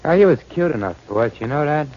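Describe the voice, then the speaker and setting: A man's voice: on the high side, animated, fast, lightly acted. An actor playing a slangy, matter-of-fact gangster henchman, casual yet deferential, in 1940s radio drama style. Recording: dull, noisy 1940s radio broadcast.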